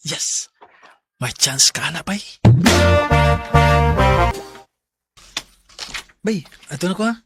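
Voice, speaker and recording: A man's voice: fairly high pitch, moderate pace, lightly acted. An actor playing an easygoing, teasing young man who flusters easily, with a Cebuano accent, in radio drama style. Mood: excited, encouraging. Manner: animated, teasing, exclamatory. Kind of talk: Bisaya radio drama acting. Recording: noisy radio drama recording.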